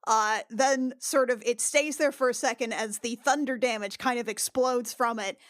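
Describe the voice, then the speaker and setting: A woman narrating her moves casually as a player in a tabletop game, halting and thinking aloud, with some swearing. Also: on the high side, steady tone, dry recording. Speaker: player in a tabletop RPG podcast.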